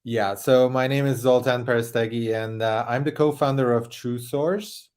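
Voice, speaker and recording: A man's voice: medium pitch, steady tone, normal speed, a little mellow, smooth. A middle-aged man from Hungary with a Hungarian accent, guesting on a video-call interview and chatting casually, with lots of restarts and fillers. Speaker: startup co-founder guest. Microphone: video call webcam.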